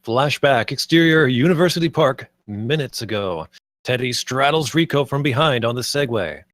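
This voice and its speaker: A man's voice: mid-range voice, expressive, moderate pace, fairly bright tone, no echo, studio quality. A man narrating the stage directions and scene headings of a screenplay at a table read.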